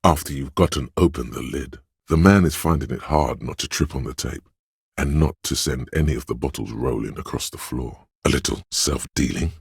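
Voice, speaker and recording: A man playing a slick, money-obsessed inner voice in a video game, a cajoling, flamboyant hype man with streetwise swagger and a gravelly delivery. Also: moderate pace, no echo, clean studio sound, exaggerated voice. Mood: wry, taunting, then brash, goading. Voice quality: gravelly, very deep. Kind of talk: theatrical video game character monologue.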